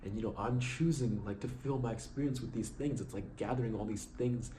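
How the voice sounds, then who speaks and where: A man hosting his own vlog, talking casually and reflectively about gratitude, low on energy and slightly raspy after a long night. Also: brisk, slight background noise, poor audio. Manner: relaxed, reflective, keeps adding "right?". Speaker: vlog host. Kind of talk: casual motivational vlog talk.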